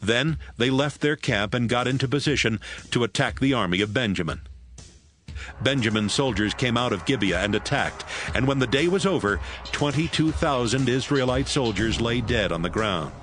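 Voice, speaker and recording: A man narrating scripture as a measured, scripted storyteller in an audio Bible. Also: medium pitch, expressive, fairly bright tone, faint music behind.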